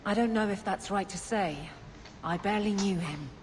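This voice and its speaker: A woman's voice: medium pitch, moderate pace, slight background noise, lightly acted. An actress playing a blunt, dutiful, world-weary city guardswoman in a video game, plain-spoken and dry. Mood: reflective, weary.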